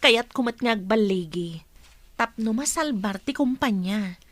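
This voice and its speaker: A woman's voice: on the high side, expressive, a little slow, no room sound. Dry-sounding, businesslike senior office woman. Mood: concerned. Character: businesslike, supportive female senior office colleague. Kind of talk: Filipino radio drama acting.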